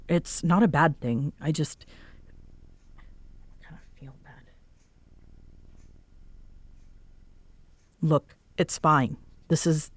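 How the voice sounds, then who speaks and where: Grumpy tsundere roommate, acted by a woman, wildly swooping pitch. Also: no echo, exaggerated voice. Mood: awkward, apologetic. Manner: sarcastic, huffy, sighing exasperation with soft spots. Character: grumpy, teasing tsundere roommate, young woman home from work. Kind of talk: roleplay audio drama, conversational acting.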